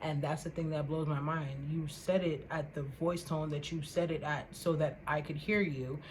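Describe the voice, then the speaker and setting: A young woman vlogger with a loud voice, ranting casually to the camera in an animated, indignant way and repeating words for emphasis. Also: sits low, moderate pace, a little hiss or hum, low-quality recording.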